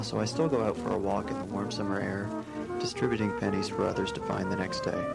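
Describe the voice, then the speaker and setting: A man's voice: fairly low pitch, vocal fry, low-quality recording. A man from Canada reading a personal memoir aloud. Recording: loud music behind the voice. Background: loud music.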